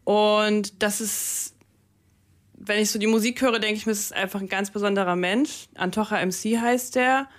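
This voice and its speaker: A woman's voice: medium pitch, fairly even intonation, moderate pace. A young woman DJ, Russian by first language, chats easily in a campus radio studio. She talks loosely, with fillers and English slang words mixed in, into a close studio microphone. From Germany. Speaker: DJ, interview guest. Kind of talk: casual radio interview.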